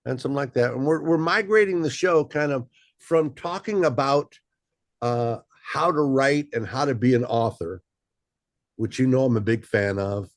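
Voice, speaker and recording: A man's voice: mid-range voice, moderate pace, dry recording. A man hosting a casual interview, easygoing and loose with fillers. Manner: relaxed, many fillers and repeats. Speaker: host.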